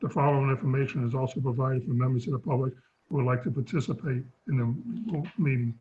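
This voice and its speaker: Hoarse male chair, halting read-through, muffled video-call sound. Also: mid-range voice, fairly even intonation, mellow. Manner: halting, stumbles over scripted wording. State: losing his voice. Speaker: chair of a town sewer commission. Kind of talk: reading a meeting script and chairing. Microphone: remote video call. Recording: dull lo-fi call audio.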